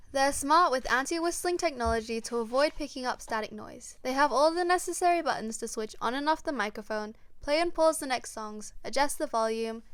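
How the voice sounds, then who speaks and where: A woman's voice: fairly high pitch, a bit bright. A woman reading a scripted unboxing narration as the reviewer of a product.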